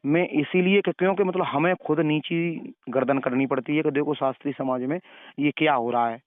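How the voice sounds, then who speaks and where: A man's voice: a little high, a little fast, no room sound. Preachy man on a tinny phone line. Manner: moralizing, preachy, repeats phrases. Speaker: caller questioning and advising the other party. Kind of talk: phone conversation. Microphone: telephone. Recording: narrow tinny phone-call recording.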